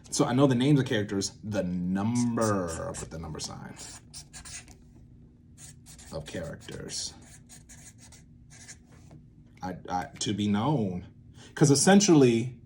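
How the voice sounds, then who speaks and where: Young man, lowish voice, casual halting think-aloud explainer, faint noise behind. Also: darkish tone. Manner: halting, thinking aloud with repeats and self-checks. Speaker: creator teaching a creative method.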